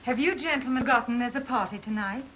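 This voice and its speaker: A woman playing a sociable, devoted colonial wife with an expressive, theatrical 1930s film delivery. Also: fairly high pitch, fast talker, close to an everyday voice. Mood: worried. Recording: dull, noisy 1930s film soundtrack.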